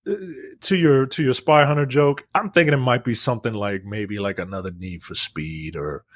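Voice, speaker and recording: Man, medium pitch, dry close recording, casual podcast chat, rambling, halting, sweary. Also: no room sound. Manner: profane, informal, halting and rambling. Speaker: podcast co-host. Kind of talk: casual movie and game news chat.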